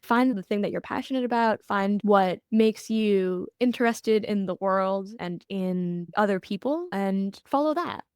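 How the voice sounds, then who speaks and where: A young woman, a college counselor, talking in a relaxed interview, thoughtful and earnest with lively bursts. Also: medium pitch, unhurried, few pauses, clean tone, no echo, studio quality. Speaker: admissions counselor, guest. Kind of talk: casual interview.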